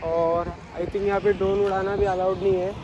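A young man talks through a moto vlog in a high, light voice, rambling in a chatty way full of fillers. He is outdoors on a moving motorbike. Loud traffic and wind noise roar behind him from a phone stuck to his helmet. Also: flat delivery, normal speed, dull audio. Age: young adult. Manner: chatty, filler-heavy, talking while riding. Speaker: vlogger. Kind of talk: casual moto vlog commentary. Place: outdoors on a motorbike on dusty roads, then a seaside spot.

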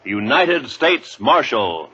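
A man's voice: medium pitch, very animated, takes it slowly. A man as a dramatic announcer for a 1950s radio Western, reading scripted narration in an emphatic, portentous way. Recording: muffled old radio recording, loud music.

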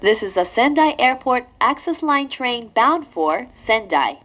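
A woman reading a scripted train announcement in a formal, measured, clearly phrased way. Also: fairly high pitch, very animated. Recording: dull lo-fi audio with train noise. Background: train running noise.

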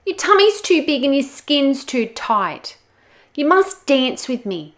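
A woman voicing a whiny, pushy young female brolga in a storybook, pleading and honking loudly and harshly. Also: high-pitched, big pitch swings, slow, voice pushed up, heavily acted. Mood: insistent. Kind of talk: storybook character voice in a read-aloud.

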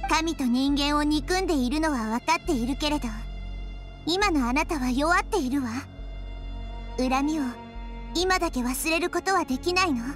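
High-voiced, wise little girl deity. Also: faint music behind. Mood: earnest, resolute. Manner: calm, earnest, measured. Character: small childlike girl deity, calm, wise, compassionate. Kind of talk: video game voice acting.

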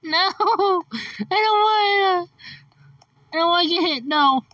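A woman's voice: extremely high pitch, takes it slowly, sharp tone, dry recording, distant mic, lo-fi sound. A woman making short, casual reactions while playing along in a game roleplay. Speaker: player in a Minecraft roleplay.

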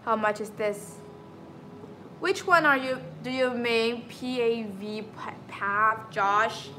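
A woman's voice: a little high, takes it slowly, pushed and bright, slight background noise. A young woman with a Chinese accent hosting a live stream in a beauty showroom, chatting to viewers and repeating her words. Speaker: live stream host selling beauty machines. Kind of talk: live stream chat reading viewer comments. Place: indoor showroom with beauty machines.

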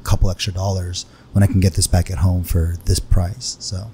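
A man's voice: flat delivery, moderate pace, warm tone. A man, a bartender guest on a podcast, casually explaining things at a close microphone in a bar lounge. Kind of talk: casual explanatory interview answers. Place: wood-panelled bar lounge, indoors. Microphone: close podcast microphone on stand. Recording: low rumble and faint room noise.

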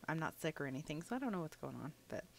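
Young woman, sniffly, casual chatty game voiceover; low, full voice, loose and rambling with plenty of ums. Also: sing-song, very fast, gravelly, a little hiss or hum. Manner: rambling, chatty, many fillers. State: a little sniffly. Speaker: gaming channel host.

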